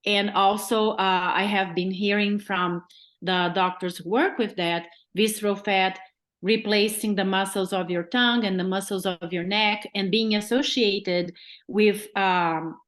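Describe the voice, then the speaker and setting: A woman's voice: medium pitch, steady tone, a little slow, a bit bright. A woman doctor from Brazil with a Brazilian Portuguese accent, a guest on a podcast who explains step by step and keeps checking in with "right?". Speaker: guest physician.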